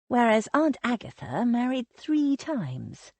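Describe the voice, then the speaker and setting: A woman voicing a shy but quietly defiant young woman in a storybook reading, speaking softly and earnestly. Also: fairly high pitch, very animated, slow pace, dry recording, pitched above the performer's own voice, full character voice.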